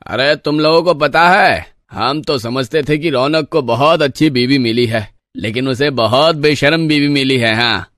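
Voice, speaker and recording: A man's voice: medium pitch, measured pace, hardly any pauses, a bit bright, no echo, close to an everyday voice. Man playing a gossipy villager, dry sound. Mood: scandalized gossip. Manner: gossiping, disapproving.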